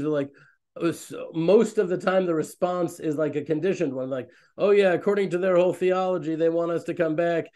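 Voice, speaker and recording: A man in his thirties, a rabbi hosting an online fellowship, talking in a loose, rambling, animated way on a webcam from a small room. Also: fairly high pitch. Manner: rambling, animated, self-interrupting. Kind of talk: casual online talk to a community. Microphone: webcam on a video call.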